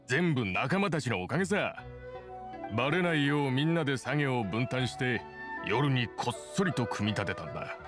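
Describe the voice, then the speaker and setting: Man playing a calm middle-aged craftsman, medium-pitched. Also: moderate pace, lightly acted. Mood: matter-of-fact. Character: calm, modest, nostalgic middle-aged boatbuilder. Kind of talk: Japanese anime game voice acting. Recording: music clearly behind the voice.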